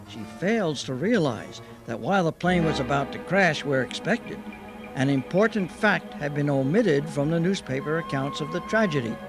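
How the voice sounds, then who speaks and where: A man giving scripted narration as the narrator of an old-time radio drama. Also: medium pitch.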